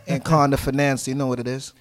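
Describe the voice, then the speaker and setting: A man's voice: mid-range voice, fairly even intonation, fairly quick. A man from Brooklyn, a rapper guesting in a studio, talking confidently in hype street slang. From Brooklyn, New York.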